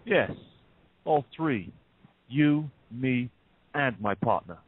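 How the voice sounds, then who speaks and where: A man's voice: medium pitch, lively intonation, slow, husky, no echo. A man playing a smug, menacing bank robber in an audio play, speaking with taunting, mock-polite deliberation. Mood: mocking, commanding. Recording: muffled narrow phone-like sound.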